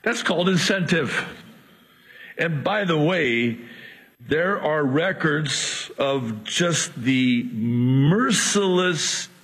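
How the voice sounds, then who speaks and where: A husky-voiced male preacher whose native tongue is Arabic, unhurried and deliberate in his delivery. He teaches verse by verse, breaking in with lively quips and soft chuckles. His voice sits a little high for a man and rings out with the long reverb of a big hall. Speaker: Bible teacher. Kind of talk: verse-by-verse sermon.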